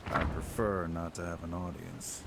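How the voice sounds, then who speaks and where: A man's voice: low-pitched, flat delivery, normal speed, creaky. An actor playing a stoic, honourable lone swordsman in a video game, terse and reserved. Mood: guarded. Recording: loud ambient noise behind.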